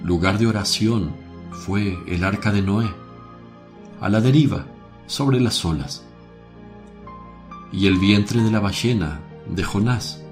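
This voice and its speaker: A lowish, very unhurried male voice acted by a man of a gentle holy teacher in a religious radio drama. He preaches solemnly, with measured, declamatory phrasing, and music plays behind him. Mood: solemn, exhorting.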